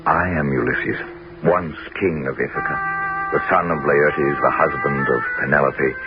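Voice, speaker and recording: A man's voice: rumbling low, fairly even intonation, normal speed, over loud music. A man voicing Ulysses, a crafty heroic king, in a theatrical 1970s radio drama style. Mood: solemn. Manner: theatrical, declamatory. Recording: dull lo-fi 1970s radio broadcast.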